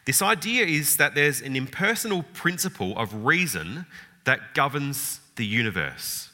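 Animated, sharp-toned man teaching in a hall. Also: mid-range voice, measured pace. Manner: thoughtful, frequent "uh" fillers and restarts, rhetorical questions. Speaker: Bible teacher. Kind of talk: informal Bible lecture. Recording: long hall reverb.

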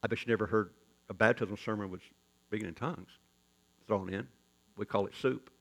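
A man pastor preaching a sermon as folksy, joking storytelling, with sudden loud rises in his delivery. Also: lowish voice, a little fast, gravelly.

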